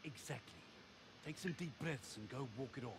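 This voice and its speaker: A man's voice: medium pitch, normal speed, close to an everyday voice. An actor playing a stern male mercenary admonishing his comrade in an action video game. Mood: stern warning. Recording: game audio with clear noise.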